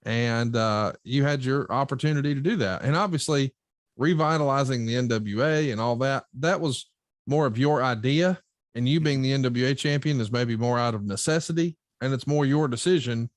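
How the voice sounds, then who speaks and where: A man's voice: medium pitch, moderate pace, dry recording, clean studio sound. A man hosting a podcast in a casual, conversational style, explaining things with a wry touch. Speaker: podcast host reading listener questions.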